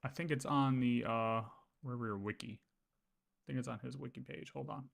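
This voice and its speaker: A man's voice: medium pitch, steady tone, normal speed, creaky. A man livestreaming casual game lore commentary, thinking aloud and often repeating his words as he works through ideas.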